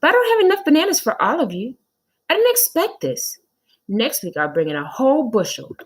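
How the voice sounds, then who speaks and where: Flustered storybook schoolteacher, played by a woman reader: high swooping pitch, slightly husky, emphatic and incredulous. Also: sing-song, brisk, exaggerated voice. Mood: amazed, eager. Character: flustered, dramatic, nice but confused woman schoolteacher. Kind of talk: children's storybook character voice.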